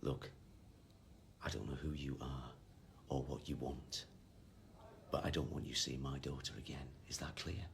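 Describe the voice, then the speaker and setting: A man's voice: a bass voice, fairly even intonation, a little slow, creaky, slight background noise, exaggerated voice. A middle-aged man acting in a radio drama as a firm, protective father, terse and guarded. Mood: guarded, stern.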